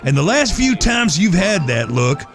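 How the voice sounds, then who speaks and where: A man's voice: sits high, very animated, moderate pace, over music. A man playing a folksy, wry older cowboy brother with an American Western drawl, ribbing his kin in an audio drama. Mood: wry teasing. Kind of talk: audio drama acting, Old West dialogue.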